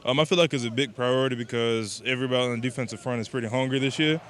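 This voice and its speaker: A man's voice: racing, fairly bright tone. A young man from Georgia, giving interview answers as a college athlete. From Georgia, USA. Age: young adult. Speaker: college football player. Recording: noisy outdoor recording, traffic rumble.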